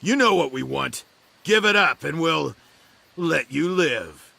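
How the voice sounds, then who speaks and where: A man's voice: a little high, expressive, takes it slowly, gravelly, pushed and bright, no echo, heavily acted. A man playing a cocky, crude, threatening thug in a video game. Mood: menacing. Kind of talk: dramatic video game acting. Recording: faint music behind.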